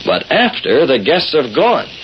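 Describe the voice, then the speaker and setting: Confident radio-hero publisher, animated delivery. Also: male voice, a little high, measured pace. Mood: confident, scheming. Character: decisive newspaper publisher, secretly a masked crime fighter. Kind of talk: 1930s-40s radio acting. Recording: muffled, noisy old radio recording.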